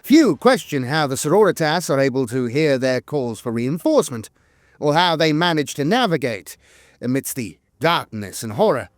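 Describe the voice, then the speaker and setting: A man with a slightly high voice narrates scripted lore in a formal, measured manner. His pitch moves in lively swings across phrases, with an occasional small hesitation. The sound is dry and close, with little room on it. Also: no room sound. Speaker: channel narrator.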